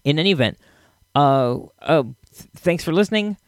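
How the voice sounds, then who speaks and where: A man hosting a podcast with a casual, halting, rambling introduction. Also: fairly high pitch, animated, clean tone, no echo. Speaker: podcast host.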